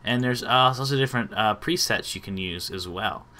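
A man, giving an informal step-by-step software tutorial as the host. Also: fairly low pitch, moderate pace.

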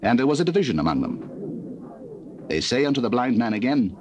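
A man's voice: mid-range voice, very animated, a little fast, noisy background. A man giving scripted scripture narration on an old cassette recording.

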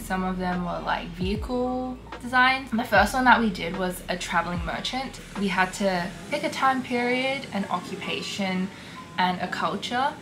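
A young woman from Hong Kong, a concept artist talking casually and candidly about her school projects, with lots of fillers, in a small home studio. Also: medium pitch. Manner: chatty, many fillers, relaxed and candid. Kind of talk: casual talk over artwork. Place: small bedroom studio, indoors. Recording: music playing underneath.

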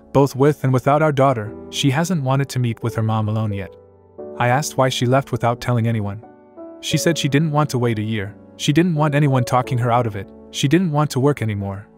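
A man in his forties reading a first-person account aloud in a calm, even way. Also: medium pitch. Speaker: narrator. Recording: soft music underneath.

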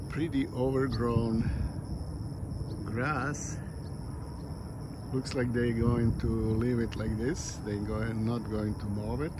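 A man on a park walk, describing what he films in a slow, halting way, with many fillers. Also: lowish voice. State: panting in the heat. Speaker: nature vlogger. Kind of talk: casual walking commentary. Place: outdoors in a grassy park by a pond. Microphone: camera mic, speaker off screen. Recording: outdoor noise and wind.

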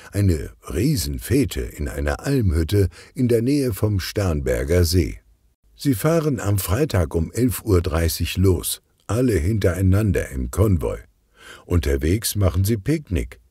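Male audiobook narrator for children's mystery: low, lively intonation, unhurried, close dry sound. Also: husky, dry recording. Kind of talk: scripted children's story narration.

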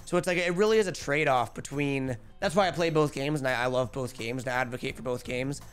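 Young man, gaming streamer, chatty, headset mic, slightly hoarse, light music underneath. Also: medium pitch, quick pace. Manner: energetic, chatty, calls out tricks. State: losing his voice. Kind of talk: live gameplay commentary. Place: home streaming room.

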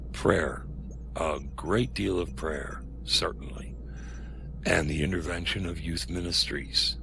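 An actor playing a menacing, paternal middle-aged security official in an audio drama, preaching with condescending, sermon-like cadences. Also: male voice, deep voice, creaky, background noise, heavily acted. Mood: gently menacing.

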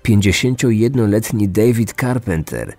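A man's voice: on the low side, moderate pace, a little mellow, no echo. A man delivering scripted true crime documentary narration as a podcast narrator.

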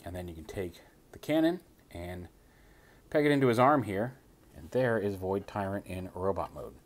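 Male toy reviewer with a lowish voice, relaxed hands-on walkthrough at a tabletop. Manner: casual, explains step by step while handling a figure.

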